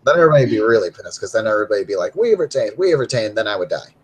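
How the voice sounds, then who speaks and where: A man's voice: fairly low pitch, sing-song, racing, breathy edge. A man playing a resigned, self-deprecating urban werewolf in a tabletop roleplay, wry and noncommittal. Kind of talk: in-character tabletop roleplay dialogue.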